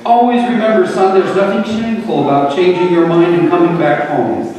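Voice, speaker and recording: A man's voice: sits high, a little fast, a little mellow, natural voice. A man playing a devout, forgiving father, earnest and preachy, in a dramatic reading of a parable. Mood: pleading. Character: devout, grieving, forgiving father pleading with his wayward son. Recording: very echoey hall from far off, faint noise.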